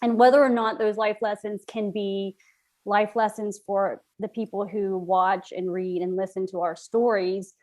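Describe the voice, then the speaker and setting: A woman speaking as a playwright in an interview, thinking aloud in a halting, reflective way with rhetorical questions. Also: medium pitch, fairly even intonation, darkish tone.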